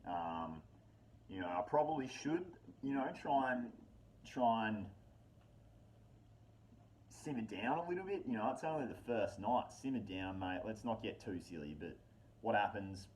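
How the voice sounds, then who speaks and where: A man's voice: fairly low pitch, animated, moderate pace, long pauses, darkish tone, slight background noise, poor audio. A man telling a casual, rambling personal anecdote with slangy swearing and dramatic repetition. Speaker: storyteller.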